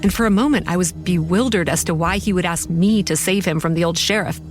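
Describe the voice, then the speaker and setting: Woman as a low-voiced, wry horror story narrator. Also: brisk, music in the background, lightly acted. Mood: wry, tense. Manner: confiding, wry. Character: sardonic, haunted young woman running a campground.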